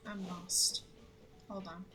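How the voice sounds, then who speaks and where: A woman's voice: lowish voice, flat delivery, slow pace, sharp tone, a little hiss or hum. A young woman giving casual live commentary as she streams a video game.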